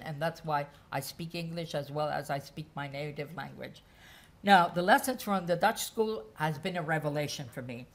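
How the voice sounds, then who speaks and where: Brief: female lecturer with a lowish voice, measured and methodical, stepping through her points one by one. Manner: measured, enumerating points in lists. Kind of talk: academic lecture.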